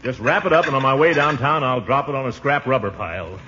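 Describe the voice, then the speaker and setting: An actor playing a grumpy, pompous middle-aged uncle who blusters and exclaims in a 1940s radio comedy. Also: male voice, medium pitch, expressive, fast, natural voice. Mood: exasperated. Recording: noisy, muffled 1940s radio recording.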